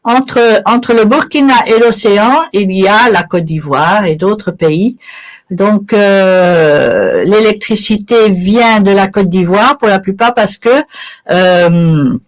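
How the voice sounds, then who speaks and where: A woman's voice: medium pitch, animated, slow pace. Woman of Italian origin explaining in interview. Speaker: interview guest, charity sponsorship volunteer. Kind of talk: conversational interview answers.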